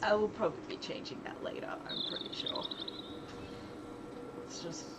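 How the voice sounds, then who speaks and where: A woman's voice: medium pitch, normal speed. A woman hosting a casual livestream, thinking aloud in an informal, rambling way and often interrupting herself as she works. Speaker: livestream host, writer and game developer. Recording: very noisy live stream audio.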